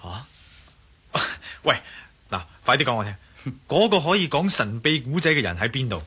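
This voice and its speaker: A man's voice: mid-range voice, sing-song, moderate pace, pushed and bright, husky, exaggerated voice. A man playing a curious, teasing Hong Kong tourist in a radio drama, casual and wry. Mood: eager, curious. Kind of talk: Cantonese radio drama acting.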